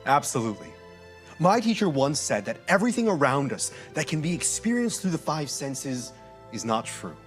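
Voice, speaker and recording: A woman playing an earnest, loyal philosophical companion in a live sci-fi audio play, by turns measured and excitable. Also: low-pitched. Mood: gentle, earnest. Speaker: actor in a live stage audio drama. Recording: live stage play with music bed.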